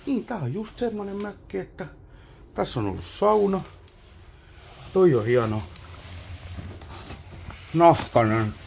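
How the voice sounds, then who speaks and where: A man's voice: sits high, sing-song, gravelly, long pauses, a little mellow, slight background noise. Man muttering through an abandoned cabin. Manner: muttering, wondering aloud while poking around. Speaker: abandoned-places explorer. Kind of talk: casual exploration vlog commentary. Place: abandoned wooden cabin, indoors.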